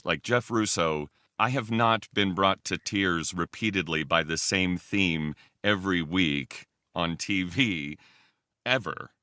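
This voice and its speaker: Male podcast guest, low-pitched voice, very dry sound, casual and thoughtful with halting phrasing. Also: pushed and bright, no echo. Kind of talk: casual discussion on a fan podcast.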